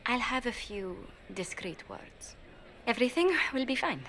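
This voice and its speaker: An actress playing a poised court diplomat who gives measured, formal warnings in a game cutscene. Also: female voice, fairly high pitch, very animated, fairly bright tone, slight background noise. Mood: earnest. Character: poised, careful female court diplomat, adult. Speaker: diplomatic adviser. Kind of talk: video game cutscene dialogue.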